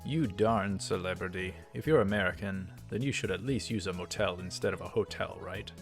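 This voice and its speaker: Man reading as a pompous young man, low-voiced. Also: a little fast, faint music behind. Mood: needling.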